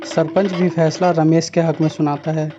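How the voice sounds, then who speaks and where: A man's voice: a little high, flat delivery, normal speed, muffled recording, over music. A man reading scripted storybook narration as the narrator of a tale.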